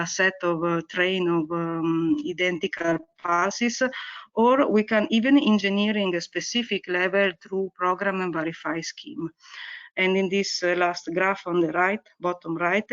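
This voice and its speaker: A woman researcher who is not a native English speaker, presenting a technical webinar lecture with slides in a halting, hesitant way. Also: medium pitch. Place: online webinar. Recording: dull, muffled webinar audio.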